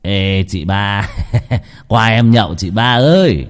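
A man's voice: lowish voice, takes it slowly. A man voicing a drunken, jovial gangster henchman in an audio story, drawling and slurring.

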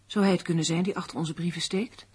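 An actress in a radio play playing a determined, unsentimental amateur sleuth, matter-of-fact and insistent. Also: female voice, on the low side, steady tone, fast, darkish tone, breathy edge. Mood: insistent, suspicious.

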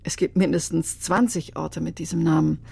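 Husky, guarded fortune teller. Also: female voice, low voice, fairly even intonation, normal speed, mellow, heavily acted. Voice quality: husky, creaky. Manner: guarded, terse. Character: reticent Mexican fortune teller, guarded and enigmatic. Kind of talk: radio crime drama dialogue.

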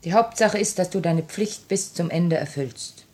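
An actress playing an anxious, dutiful revolutionary woman in a 1950 radio drama, speaking in earnest, measured stage German. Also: fairly low pitch, darkish tone, close to an everyday voice. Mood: grave, restrained fear. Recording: old 1950 radio recording.

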